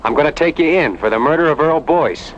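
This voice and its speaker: A man's voice: fairly high pitch, lively intonation, brisk, lo-fi sound, slight background noise, natural voice. An actor playing a resolute, plainspoken man, terse and sober in a radio drama. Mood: grim, resolved. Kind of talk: Western radio drama acting.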